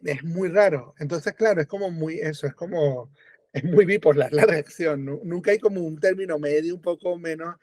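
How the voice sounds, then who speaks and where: A Venezuelan-born man chatting as a radio guest, animated and full of fillers and rephrasing. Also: on the high side, no room sound. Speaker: guest, book editor. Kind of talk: casual radio conversation. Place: remote call or studio, lives in Europe.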